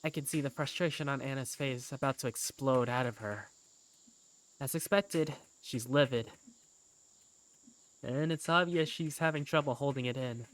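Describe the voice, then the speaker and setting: A woman's voice: extremely low pitch, moderate pace, long pauses. A woman reading scripted first-person story narration for a fan-dubbed game.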